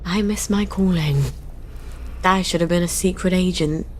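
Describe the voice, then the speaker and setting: A woman's voice: on the low side, steady tone, normal speed, lightly acted. An actress playing a wry, self-assured and daring young British woman in a video game, thinking aloud. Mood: wry scheming. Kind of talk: video game cutscene acting. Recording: clear background noise.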